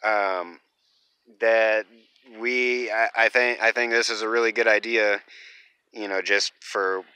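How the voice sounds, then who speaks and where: A young man walking through his half-built homestead, talking casually and rambling with many fillers, in a voice he calls monotone. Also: fairly low pitch, slow, clean tone, no echo. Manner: rambling, lots of fillers, self-described monotone. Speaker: homesteader vlogger. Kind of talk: casual off-grid build vlog. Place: half-built earthship and outdoor rooftop.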